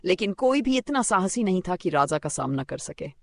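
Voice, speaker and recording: Gravelly male storyteller, dry recording. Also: high voice, big pitch swings, a little fast, no room sound. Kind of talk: scripted children's moral story narration.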